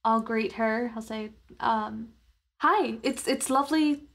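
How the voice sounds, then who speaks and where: A woman's voice: on the high side, slow, vocal fry, fairly bright tone. A woman talking casually as a player in a tabletop game, asking questions and speaking for her character.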